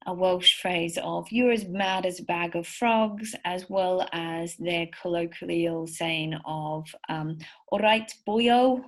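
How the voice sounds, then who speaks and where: Woman, lower voice, warm encouraging lecturer telling stories to parents over slides. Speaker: speech therapist presenter.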